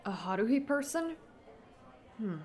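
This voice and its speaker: A woman's voice: fairly high pitch, sing-song, measured pace, a little mellow, slight background noise. A woman voicing a mild, concerned teenage schoolboy in an anime fan dub, speaking politely and with some puzzlement. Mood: puzzled.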